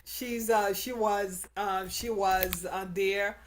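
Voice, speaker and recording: A woman hosting a casual live-stream chat, talking in an excited, rambling, upbeat way. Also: medium pitch, slow pace, slight background noise. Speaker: show host.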